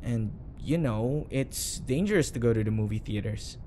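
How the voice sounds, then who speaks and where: A man's voice: medium pitch, animated, normal speed, mellow, a little hiss or hum, close to an everyday voice. A man playing a flustered, obsessively devoted bodyguard in an audio roleplay, earnest and nervously backpedalling. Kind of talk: audio roleplay acting addressed to the listener.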